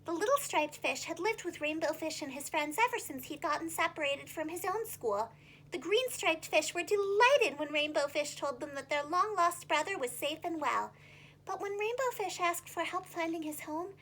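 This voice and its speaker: A woman telling a children's story in an animated, expressive teacher's style. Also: high-pitched, moderate pace, slight background noise, low-quality recording.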